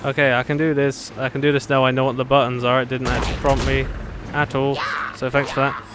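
A man's voice: mid-range voice, little pitch movement, fast, background noise. A man giving casual gameplay commentary with playful, sardonic asides and bits of sing-song babble. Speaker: gamer commentating a playthrough. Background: game music.

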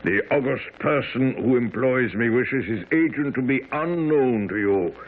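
Husky, haughty German-accented king. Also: medium pitch, lively intonation. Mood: grave, confiding. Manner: formal, pompous, deliberate. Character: imposing, haughty Bohemian king incognito, very tall. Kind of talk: radio drama acting. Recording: tinny, phone-like old radio recording.

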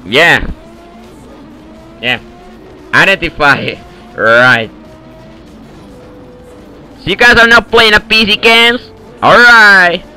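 High bright-voiced guy with an eastern european accent, slow and boastful, trolling in voice chat. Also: soft background music. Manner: broken English, boastful, trolling. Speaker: voice chat player. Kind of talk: prank call banter in game voice chat.

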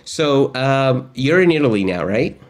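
A man's voice: medium pitch, expressive, unhurried. A man in his fifties from Argentina, hosting an online lesson and interview in an upbeat, chatty way with frequent filler words, recorded at a desk in a home studio. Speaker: host. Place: home studio with gaming chair.

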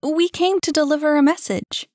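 A woman reading an eager young messenger in an audiobook, her voice high and loud with excitement. The lines come out close and dry. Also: normal speed, no room sound, natural voice. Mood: excited.

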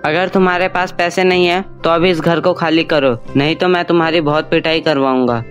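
A man playing a greedy, bullying middle-aged moneylender in an animated story, harsh and demanding. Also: a little high, brisk, lightly acted. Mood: menacing, demanding. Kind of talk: Hindi animated story dialogue. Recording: music under the voice.

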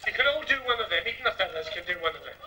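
A man's voice: high-pitched, animated, racing, sharp tone, slight background noise, full character voice. A man playing a brash, saucy older woman, a pantomime dame, with cheeky, declamatory patter that bosses and coaxes the audience. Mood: saucy, teasing. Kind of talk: theatrical music-hall pantomime patter to an audience. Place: theatre stage with painted scenery. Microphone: stand microphone, picked up from the auditorium. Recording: echoey theatre, distant old videotape sound.

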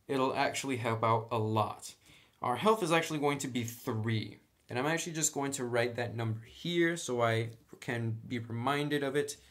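A male tutorial host with a medium-pitched voice talks through tabletop game rules. He explains each step in a casual, conversational manner, sometimes reading from the book. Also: a bit bright.

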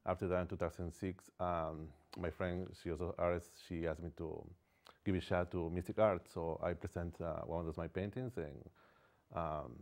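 This is a man in his forties with a deep, low voice and an Ecuadorian Spanish accent. He speaks as a guest in a small TV studio, picked up on a lapel mic. His English comes slowly and haltingly as he searches for words. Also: fairly even intonation, a little mellow. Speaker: guest artist. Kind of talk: casual interview chat.

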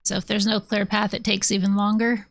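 A woman's voice: mid-range voice, flat delivery, a little fast, gravelly. A woman streamer chatting casually with viewers while she plays, rambling and self-deprecating. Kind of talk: casual chat while gaming.

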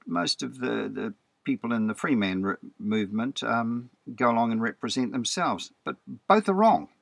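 A man talks through slides like a lecture, repeating words and checking in with "okay" as he explains. His pitch moves a lot from phrase to phrase. The sound is dry and close, with no room around it. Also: fairly bright tone, no echo. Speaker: presenter.

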